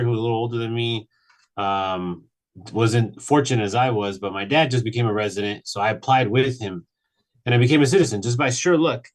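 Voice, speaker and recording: Man, late thirties, from Mexico, earnest, halting testimony, slightly low voice. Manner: earnest, halting with many restarts. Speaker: panel speaker. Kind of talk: personal testimony on a panel. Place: virtual event.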